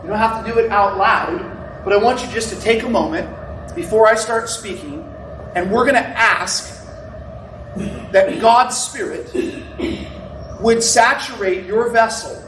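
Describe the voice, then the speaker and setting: Loud-voiced preacher in a roomy church. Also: male voice, high-pitched, measured pace, pauses often, a little hiss or hum. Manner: loud, conversational preaching with asides to the congregation. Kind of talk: sermon. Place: church sanctuary. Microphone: no microphone, recorded from a distance. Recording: roomy, distant church recording.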